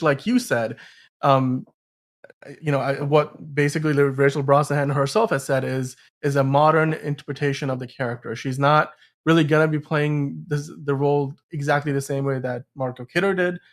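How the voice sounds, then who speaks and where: A man with a mid-pitched voice hosts a casual movie podcast. He talks through the news in a halting, winding way, often correcting himself as he goes. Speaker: podcast host.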